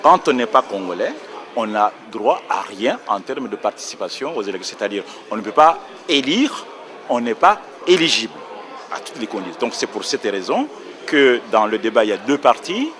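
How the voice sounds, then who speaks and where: A man's voice: on the low side, very animated, normal speed, fairly bright tone. A man explaining a legal point on a panel in a precise, lecturing way, correcting himself as he goes. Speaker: round table panelist on nationality law.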